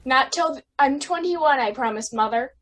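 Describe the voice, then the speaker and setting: A woman's voice: high-pitched, moderate pace, fairly bright tone. A woman playing an eager, stubborn youngster pleading with a parent in a radio drama. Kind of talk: old-time radio drama acting.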